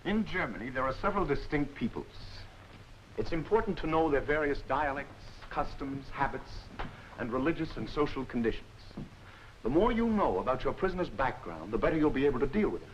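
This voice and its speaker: A dull, faintly noisy old film soundtrack carries a firm army captain played by a man giving instruction. He is mid-pitched, matter-of-fact and precise, in 1940s training film style. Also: big pitch swings, fairly quick, natural voice. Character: brisk, no-nonsense male army captain instructor. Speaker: military instructor.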